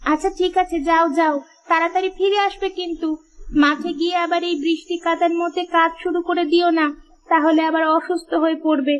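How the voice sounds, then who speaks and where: Lady doing a super high voiced village housewife, cartoon style, caring but fussy and a little naggy. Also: little pitch movement, fairly quick, lo-fi sound. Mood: fussing. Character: caring, practical village housewife, mildly nagging. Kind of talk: cartoon story dialogue.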